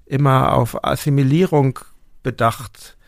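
A man hosts an interview and speaks hesitantly, searching for his words through fillers and restarts. His voice has a gravelly texture. The sound is dry, with no room echo. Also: medium pitch, measured pace. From Germany. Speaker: podcast host. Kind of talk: interview conversation.

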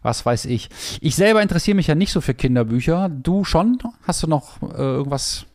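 A man's voice: a little high, animated, fairly quick, darkish tone. Hesitant teasing male podcast host. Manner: hesitant with many fillers, teasing. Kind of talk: casual podcast chat.

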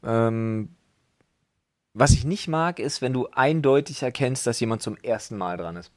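A man's voice: medium pitch, unhurried, no room sound, studio quality. Skeptical man with a mid-range voice. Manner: skeptical, teasing, informal slang. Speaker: podcast co-host. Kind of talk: casual chat.

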